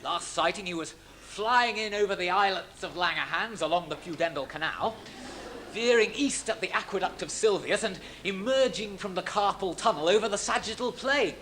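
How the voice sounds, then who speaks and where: An amateur actor playing a pirate's fawning, pedantic parrot in a stage pantomime, polite and bookish. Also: male voice, high-pitched, moderate pace, bright, forceful tone. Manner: polite, bookish, literal-minded. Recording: noisy live stage recording.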